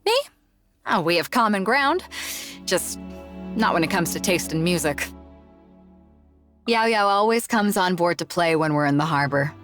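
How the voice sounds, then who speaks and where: A woman's voice: on the low side, lively intonation, measured pace, pauses often. A female pirate captain, confident, frank, easygoing, video game voice lines, music behind. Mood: wry, friendly. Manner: confident, frank, laid-back storytelling. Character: bold, easygoing, big-hearted female pirate ship captain.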